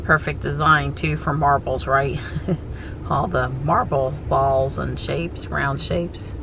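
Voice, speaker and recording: A woman vlogging from behind the wheel of her car, chatting and thinking out loud. She has a stuffy nose from allergies. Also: extremely low pitch, fairly even intonation, slow, creaky, background noise. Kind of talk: casual thinking aloud while driving. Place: inside a car while driving.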